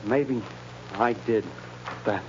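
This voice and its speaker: An actor playing a tough-talking, easily rattled gangster in 1930s radio crime drama, with slangy, blustering delivery. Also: male voice, on the low side, extremely slow. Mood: panicked. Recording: muffled old radio recording with hiss.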